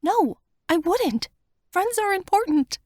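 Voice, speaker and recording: A woman's voice: extremely high pitch, big pitch swings, slow, a little mellow, no room sound, studio quality, pitched above the performer's own voice, heavily acted. A woman voicing Wanda, a tearful and devoted young woman who pleads emotionally with her friend, her voice lifted well above the narrator's own. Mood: tearful pleading.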